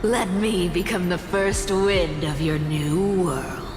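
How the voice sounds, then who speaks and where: A sly young thief girl with a tail, drawls her lines in an unhurried, teasing way. The game scene rings with a long hall reverb. A loud orchestral score plays under her voice. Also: on the low side, steady tone, music in the background. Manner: teasing, slow and breezy. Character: sly tailed young woman thief, playful. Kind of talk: video game voice acting.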